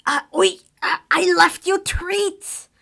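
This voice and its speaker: A woman's voice: very high-pitched, steady tone, slow, gravelly, sharp tone, voice pushed up, heavily acted. A woman voicing a kind, earnest and apologetic teenage boy wizard. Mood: startled, pleading.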